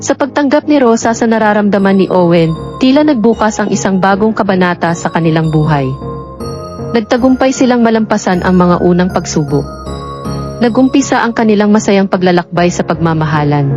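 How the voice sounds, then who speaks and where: A woman narrating a scripted romance story in a measured, sentimental storybook delivery. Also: mid-range voice. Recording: dull lo-fi audio with background music. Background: music.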